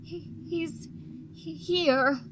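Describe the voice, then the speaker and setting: Girl voicing an anxious pony messenger, highish, halting and slow, noisy background. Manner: hesitant, anxious. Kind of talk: audio drama acting. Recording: clear background noise, ambience.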